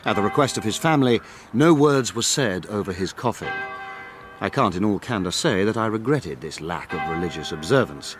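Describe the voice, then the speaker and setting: An actor playing a proper, dryly disapproving gentleman who narrates a mystery drama in formal, measured phrases. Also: on the low side, animated, close to an everyday voice. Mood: grave. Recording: music bed behind studio narration.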